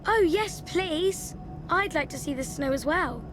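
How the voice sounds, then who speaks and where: An actress playing a brash, hot-headed young warrior sister in a video game, cheeky and combative. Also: female voice, very high-pitched, expressive, unhurried, noisy background. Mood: eager.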